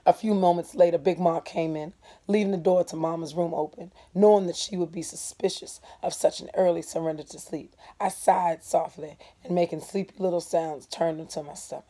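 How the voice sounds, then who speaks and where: Low, mellow, husky woman storyteller. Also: brisk. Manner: expressive, dropping into hushed breathy tones. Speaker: storyteller reading a novel aloud. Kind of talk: dramatic first-person audiobook narration.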